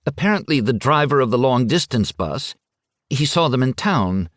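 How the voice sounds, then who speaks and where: A man reading an audiobook and voicing an anxious, nervous middle-aged village wife who talks in hesitant, hurried bursts. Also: medium pitch, big pitch swings, no room sound, clean studio sound, voice pushed up, close to an everyday voice. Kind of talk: audiobook character voice.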